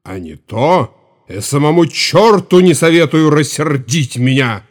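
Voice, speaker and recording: An actor playing a grieving, stern old Cossack chief in an audio drama, speaking with solemn, mournful authority. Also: male voice, fairly high pitch, animated, measured pace. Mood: stern command. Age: older.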